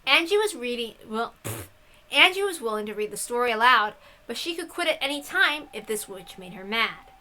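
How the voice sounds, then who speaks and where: A woman reading a visual novel aloud, with expressive narration and casual asides. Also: fairly high pitch, normal speed.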